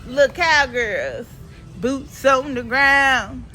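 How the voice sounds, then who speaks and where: A woman's voice: sits high, expressive, slow, sharp tone. Stuffy-voiced chatty woman vlogger. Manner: chatty, playful, slangy. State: stuffy and sniffling. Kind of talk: casual shopping vlog voiceover. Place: home, recorded voiceover.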